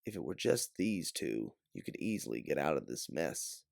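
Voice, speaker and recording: A man narrating a science-fiction adventure story as an audiobook reader, with theatrical emphasis and a measured, dramatic delivery. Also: a bass voice, very animated, vocal fry, a little mellow, no room sound, studio quality. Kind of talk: dramatic second-person sci-fi story narration.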